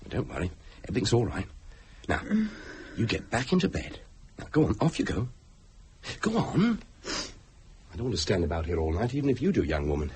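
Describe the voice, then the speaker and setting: An actor playing a protective, patronizing British husband in a radio drama, coaxing yet quick to anger. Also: male voice, on the low side, sing-song, darkish tone, a little hiss or hum. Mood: soothing, firm. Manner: coaxing endearments, brisk commands, emphatic.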